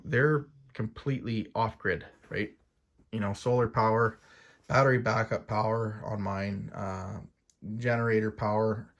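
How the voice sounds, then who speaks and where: A man's voice: lowish voice, a bit bright. A man giving a casual, unscripted explainer as an outdoors gear host, rambling and hesitant in an informal way, often checking in with a tag question.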